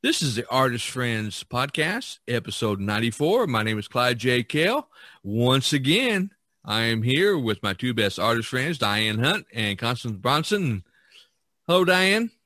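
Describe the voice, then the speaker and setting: A man hosting a podcast in casual talk, with a halting, hesitant delivery. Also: medium pitch, sing-song, pushed and bright, no echo, clean studio sound. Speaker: podcast host.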